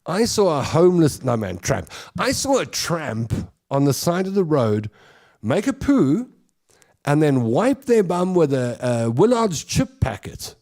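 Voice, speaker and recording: A man's voice: a little high, big pitch swings, a little slow, darkish tone. A man from South Africa with a South African accent, hosting a show with a chatty, rambling comic monologue and laughing at his own stories. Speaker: host.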